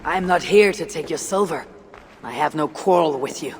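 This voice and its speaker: A woman playing a bold Norse warrior and raider in a video game, speaking in a measured, blunt, grave way. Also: deep voice, slight background noise, lightly acted. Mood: firm. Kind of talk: video game cutscene acting.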